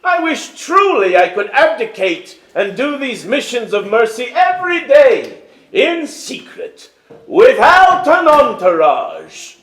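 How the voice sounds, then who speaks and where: A man's voice: extremely high pitch, huge pitch swings, slow, a bit bright, heavily acted. An actor playing a kindly, weary king in a stage musical reading, speaking formally and earnestly. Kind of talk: theatrical stage acting. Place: indoor hall, live staged reading. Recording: roomy live stage pickup.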